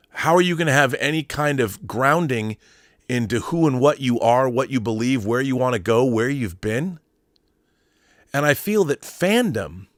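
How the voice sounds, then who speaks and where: A man's voice: medium pitch, no room sound, clean studio sound. A middle-aged American man giving casual, opinionated commentary as a show host, rambling and emphatic, with some swearing.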